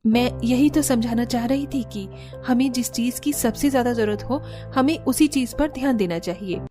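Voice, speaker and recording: A sensible young daughter-in-law in a cartoon family tale, voiced by a woman. Her voice is high and she reasons firmly yet caringly over faint music. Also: moderate pace, warm tone, natural voice. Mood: pleased, earnest. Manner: earnest, reasoning. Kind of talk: Hindi cartoon story dialogue.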